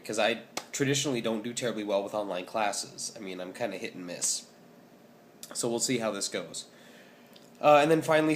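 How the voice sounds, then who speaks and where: Young man, twenties, low and hoarse-voiced, casual vlogger full of filler words. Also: slight background noise. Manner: rambling, many ums and restarts. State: hoarse, scratchy voice. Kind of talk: casual vlog update.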